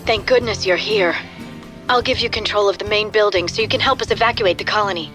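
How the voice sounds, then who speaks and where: An actress playing an earnest doctor who leads a besieged colony in a video game, formal and urgent. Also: female voice, mid-range voice, a little fast, over music, natural voice.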